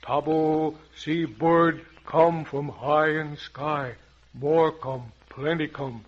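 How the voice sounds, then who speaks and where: A man playing a loyal, wary African safari guide who speaks in halting broken English in an old radio adventure. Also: fairly high pitch, fairly even intonation. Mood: alarmed, solemn. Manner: halting, clipped pidgin phrases, solemn. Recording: dull, muffled vintage radio recording.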